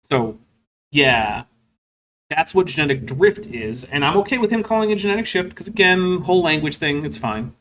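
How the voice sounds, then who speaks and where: A man's voice: fairly high pitch, expressive, normal speed, fairly bright tone. A man hosting a stream, reading super chats and commenting on a clip in a casual, wry way, filling his talk with "like" and "um". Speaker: host.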